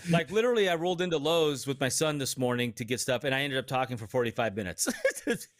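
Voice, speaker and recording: A man's voice: medium pitch, fast talker, no room sound, studio quality. A man co-hosting a casual podcast livestream, joking animatedly and poking fun at himself. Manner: animated, joking, self-deprecating. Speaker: podcast co-host.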